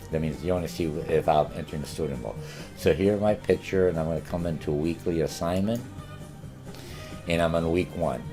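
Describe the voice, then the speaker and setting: A man's voice: rumbling low, dark tone. An older man whose first language is Polish, a college marketing instructor giving an online course orientation in a rambling way with many filler sounds. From born in Germany, Polish parents.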